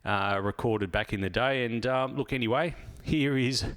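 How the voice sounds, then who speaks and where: A man with an Australian accent hosting a casual motoring podcast, talking in a relaxed, rambling way with fillers and restarts, recorded in a small home office. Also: mid-range voice, moderate pace. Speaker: podcast host.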